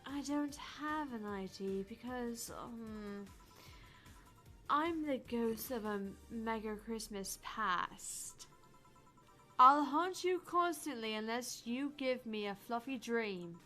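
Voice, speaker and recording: A woman's voice: on the high side, animated, takes it slowly, pushed and bright, faint music behind, full character voice. A woman voicing a small, nervous young girl who stammers while pretending to be a ghost in a visual novel. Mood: nervous bluffing. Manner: hesitant, stammering bluffing.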